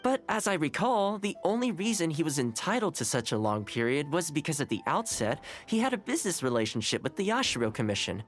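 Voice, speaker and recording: An actor playing a smooth, easygoing young male negotiator in a video game, relaxed, courteous and persuasive. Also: a little high, fairly quick, hardly any pauses. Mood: coaxing. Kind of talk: video game character dialogue.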